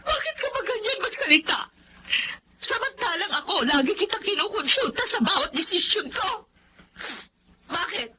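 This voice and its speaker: A woman acting a hurt, jealous wife in a Filipino radio drama, accusing and tearful as she shouts. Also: extremely high pitch, sing-song, moderate pace, pauses often, slight background noise, heavily acted. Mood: tearful anger. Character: hurt, jealous wife confronting her husband.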